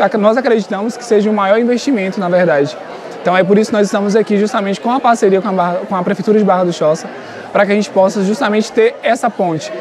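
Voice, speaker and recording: A young Brazilian man, speaker for a training company, talking earnestly on camera in a schoolyard. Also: high-pitched. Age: young adult. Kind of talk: on-camera statement. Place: outdoor covered schoolyard. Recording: crowd chatter behind.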